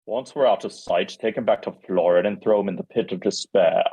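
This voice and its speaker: A man's voice: sits low, brisk, dark tone, husky, pitched below the performer's own voice. A man playing an arrogant, scheming prince who commands coldly, in an amateur script table read. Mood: smooth, cold.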